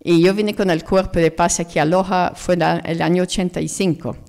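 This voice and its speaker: A woman's voice: fairly low pitch, fairly even intonation, moderate pace, gravelly, darkish tone, big-room sound. A middle-aged British woman from Jersey, speaking as an ecologist guest in a radio interview, earnest and reflective. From Jersey, United Kingdom. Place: radio studio.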